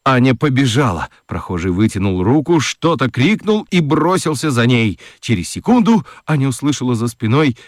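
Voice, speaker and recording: A man's voice: medium pitch, very animated, normal speed, hardly any pauses, no echo, clean studio sound. A man narrating a children's radio story expressively from a script.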